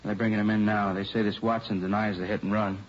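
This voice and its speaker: Low, understated radio police lieutenant played by a man. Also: level tone, quick pace, lightly acted. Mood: businesslike. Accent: American. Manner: casual, understated, businesslike. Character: plain-spoken, practical male police lieutenant. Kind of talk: 1950s radio drama acting, naturalistic underplayed dialogue. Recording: muffled, faintly noisy old radio recording.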